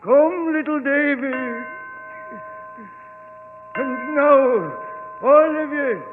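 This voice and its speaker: An actor playing an anguished, obsessive bell ringer in a melodramatic, pleading 1940s radio style. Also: male voice, extremely high pitch, very slow, heavily acted. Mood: tearful crooning. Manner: pleading, melodramatic, crooning to bells as children. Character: anguished, obsessive, vengeful old-time village bell ringer. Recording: echoey, noisy old radio recording, tinny.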